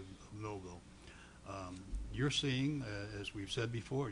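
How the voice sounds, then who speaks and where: An older man, the vice mayor, speaking hoarsely with a cold in folksy, stammering council remarks at a desk microphone. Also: lowish voice, fairly bright tone, a little hiss or hum. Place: council chamber, seated at dais.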